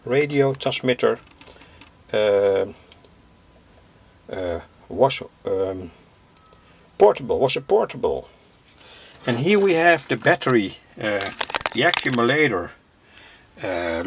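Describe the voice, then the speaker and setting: A man from Europe presents an informal hobbyist demonstration at a slow, deliberate pace. His medium-pitched voice has a lot of creak. He speaks hesitantly, stopping often in the middle of sentences. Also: noisy background. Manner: halting, hesitant, pausing mid-sentence. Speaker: presenter, vintage radio hobbyist.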